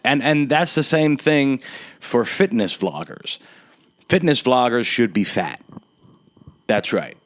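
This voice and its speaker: A man in his twenties hosting a solo podcast, ranting in a sardonic, drawn-out, emphatic way. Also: fairly low pitch, dry recording. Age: 20s. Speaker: podcast host.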